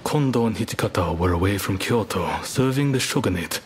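A man's voice: on the low side, lively intonation, slight background noise, close to an everyday voice. A man playing a composed, courteous samurai statesman who speaks formally in a video game cutscene. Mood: earnest, grave. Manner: formal, deliberate.

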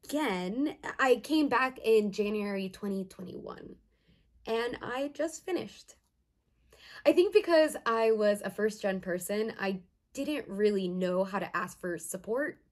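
A young woman playing an earnest first-generation college student in a conversational, emotive dramatized monologue with hesitant pauses. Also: medium pitch, expressive, natural voice. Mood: frustrated, earnest. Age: young adult.

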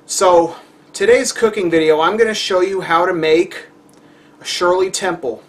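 Higher-voiced young man hosting a cooking video. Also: normal speed. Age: young adult. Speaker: cooking show host. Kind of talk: casual how-to presentation to camera. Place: home kitchen, indoors.

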